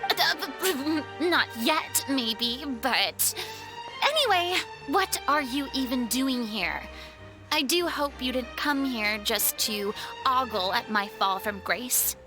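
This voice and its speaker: An actress playing a proud, theatrical young former star in a video game, with a haughty, dramatic and formal delivery. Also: female voice, high voice, lively intonation, slow pace. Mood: flustered. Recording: background music under the voice.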